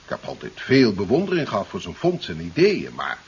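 Casting: an indignant, educated scientist in seventies radio drama, swinging widely in pitch, on a dull hissy recording. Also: male voice, huge pitch swings, fairly quick, slightly rough, full character voice. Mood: dismissive. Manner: theatrical, emphatic, educated formal speech. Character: indignant male scientist, scornful, then frightened under threat. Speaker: radio play actor. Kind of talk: 1970s radio drama acting.

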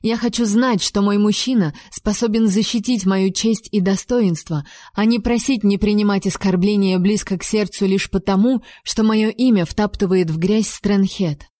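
A fiery young heroine with a warm, mellow voice, as a woman reader raises her pitch for her. Her words land with heat and emphasis. The sound is dry and close. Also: steady tone, normal speed, few pauses, dry recording, close to an everyday voice. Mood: indignant. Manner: heated, emphatic accusations.

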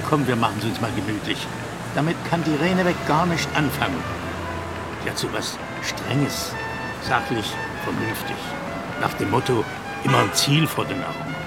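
A chatty, sentimental older husband from Saxony in a radio play, in his sixties. His voice swings lively up and down and has a gravelly edge. Loud music plays under him. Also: mid-range voice. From Saxony, Germany. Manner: chatty, confiding. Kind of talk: radio drama acting.

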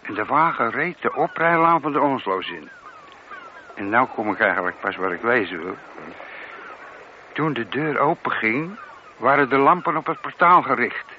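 A man's voice: mid-range voice, expressive, normal speed, pauses often, close to an everyday voice. Man playing a dutiful police sergeant, muffled recording. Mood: earnest, narrating. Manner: respectful, matter-of-fact, careful. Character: dutiful, deferential male police sergeant reporting findings. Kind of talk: radio play acting. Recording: dull, noisy old radio recording.

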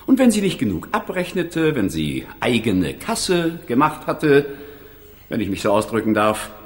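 A stern presiding judge in a radio crime drama. He speaks emphatically, with very lively intonation and a mid-range pitch, dripping sarcasm and short on patience. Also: unhurried. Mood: sarcastic, probing. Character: sarcastic, irritable male presiding judge in court. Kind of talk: German radio play acting.

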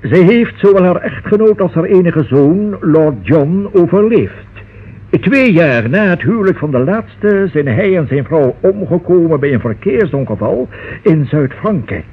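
An actor playing a cautious, pedantic notary in a Dutch radio drama, formal, long-winded and pompous. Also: male voice, a little high, expressive, measured pace, breathy edge. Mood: solemn, precise. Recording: thin, muffled old radio recording.

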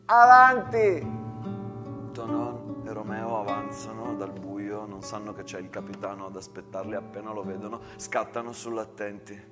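A man's voice: fairly low pitch, flat delivery, normal speed, hardly any pauses, music in the background. A man narrating a trench story theatrically, as an actor-narrator.

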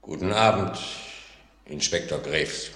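An actor playing a grave, bitter man with deliberate delivery in a 1940s German radio drama. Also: low voice, fairly bright tone, husky, exaggerated voice. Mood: cold, grave. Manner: deliberate, weighty. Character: grave, bitter man coldly refusing, accusing.

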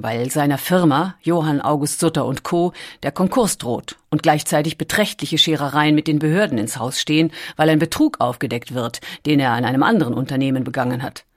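A woman reading a scripted documentary story with measured, deliberate phrasing. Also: deep voice. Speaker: audiobook narrator.

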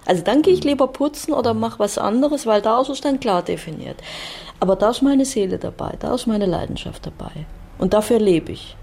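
A woman's voice: a little high, fairly quick, darkish tone, slightly rough. A woman speaking personally and passionately in short emphatic statements. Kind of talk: personal, heartfelt talk.

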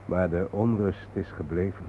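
Low-voiced, weary, brooding man acted on old radio. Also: fairly even intonation, moderate pace, warm tone, lightly acted. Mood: troubled. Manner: grave, reflective. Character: weary, brooding, haunted male guide, calming a companion. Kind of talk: radio drama acting, at times poetic. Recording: tinny narrow-band old radio recording, hissy.

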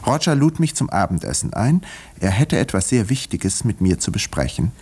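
Low-voiced village doctor, animated yet measured. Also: male voice, husky. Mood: measured, reflective. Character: village doctor and narrator, measured, discreet, observant. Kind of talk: 1950s German radio play acting and narration.

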